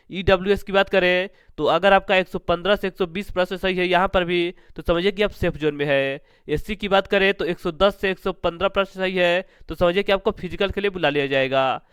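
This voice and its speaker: A man's voice: high voice, a bit bright, clean tone, no room sound, clean studio sound. A man presenting information to students as an exam-prep host, in a repetitive, formulaic way, asking questions and answering them himself.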